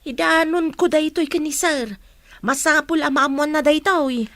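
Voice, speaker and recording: Woman acting a high-voiced, concerned female confidante. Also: measured pace, fairly bright tone, dry recording. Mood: knowing, resolute. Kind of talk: Ilocano radio drama acting.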